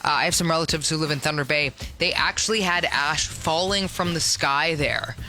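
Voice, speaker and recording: Raspy lady host, bright punchy tone, chatty and goofy, studio mic. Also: deep voice, moderate pace, faint music behind. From Ontario, Canada. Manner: chatty and playful, with exaggerated exclamations. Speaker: radio podcast host. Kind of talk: casual chat. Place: radio studio.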